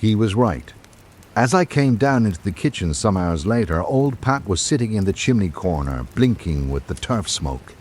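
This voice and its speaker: An actor playing a reflective literary traveller, narrating a radio drama in a measured, formal way. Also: male voice, on the low side, sing-song, darkish tone, slight background noise. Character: reflective literary traveller recalling island life. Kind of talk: scripted first-person narration.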